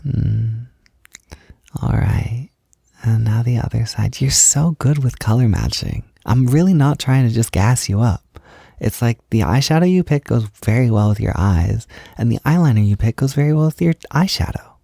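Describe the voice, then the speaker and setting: A man speaking softly and intimately in a roleplay, gentle and reassuring as if guiding someone through a task, at times close to a whisper. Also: mid-range voice, lively intonation, normal speed, vocal fry, husky, no room sound. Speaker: roleplay audio performer. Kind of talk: soft-spoken intimate roleplay, like a personal makeup tutorial.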